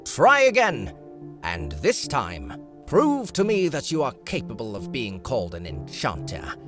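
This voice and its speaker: A man's voice: medium pitch, huge pitch swings, music in the background. A man voicing a stern, impatient enchanter teacher in an audiobook. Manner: slow, lecturing.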